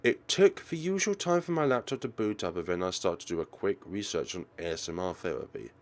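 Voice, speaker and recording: Guy reading a visual novel out loud for a lets play, low voice, super animated intonation. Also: fairly quick. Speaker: let's play host. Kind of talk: expressive read-aloud narration of a visual novel.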